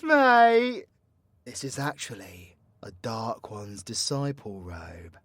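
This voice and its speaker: A British man playing a cocky, foul-mouthed dark-magic warlock in an audio roleplay, with slangy, teasing, proud delivery. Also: mid-range voice, no room sound, clean studio sound. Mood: brooding, intense. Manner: cheeky slangy banter, swinging between hushed menace and loud scolding. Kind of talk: roleplay ASMR audio drama.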